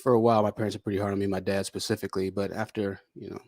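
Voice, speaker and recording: Man, podcast host, relaxed and talkative, deep-ish voice, dry recording. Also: rapid-fire, gravelly, no room sound. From Michigan. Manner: relaxed, chatty, talks at length with asides. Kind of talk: casual interview on a livestream.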